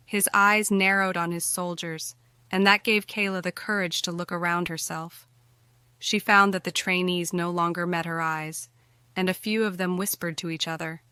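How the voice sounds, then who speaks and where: A woman's voice: lowish voice, pushed and bright, smooth, no echo. A woman reading scripted fiction narration for an audiobook.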